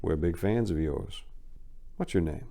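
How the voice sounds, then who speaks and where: A man voicing a smooth, reassuring street gang man in a dramatic reading, talking coolly. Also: sits low, measured pace, dark tone. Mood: calm, welcoming. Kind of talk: dramatic reading of crime fiction.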